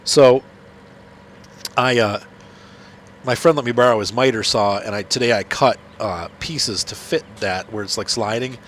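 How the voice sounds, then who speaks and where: A man's voice: medium pitch, unhurried, fairly bright tone. Middle-aged male host, nasal with a cold, rambling casual game commentary, faint noise behind. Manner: rambling, chatty, many fillers and restarts. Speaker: gaming channel host. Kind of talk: casual commentary while playing.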